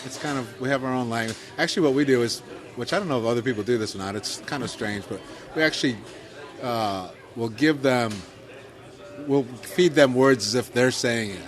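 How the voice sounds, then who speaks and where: A man's voice: medium pitch, brisk. A middle-aged man with a Midwestern accent, telling his career story in a casual podcast chat, full of fillers and self-deprecating asides. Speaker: podcast co-host.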